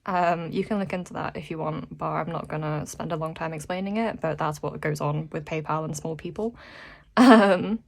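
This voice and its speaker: A woman's voice: low voice, moderate pace, vocal fry. A British woman chatting casually in a vlog update, rambling and self-deprecating in an informal way. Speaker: vlogger and small shop owner.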